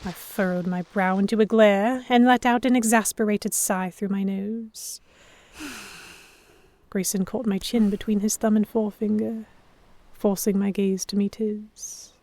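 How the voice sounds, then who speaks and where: Woman narrating a dramatic story, mid-pitched. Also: fairly even intonation, measured pace, a little mellow. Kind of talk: first-person dramatic audiobook narration.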